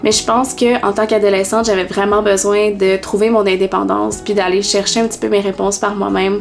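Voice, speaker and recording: A woman in her twenties with a Québécois accent, telling her personal faith story in a vlog with many pauses and fillers. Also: mid-range voice, gravelly. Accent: Québécois French. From Quebec, Canada. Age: 20s.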